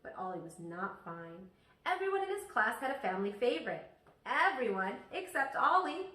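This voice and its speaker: A woman teacher reading a children's story aloud expressively, pausing to ask her listeners questions. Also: a little high, very animated, pushed and bright. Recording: echoey room, distant microphone.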